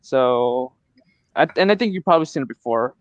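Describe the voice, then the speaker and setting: A man talks quickly in a smooth, clear, slightly high voice, telling animated stories to his friends. His mic sounds dry and somewhat lo-fi. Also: no room sound. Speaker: podcast co-host. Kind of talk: casual group chat.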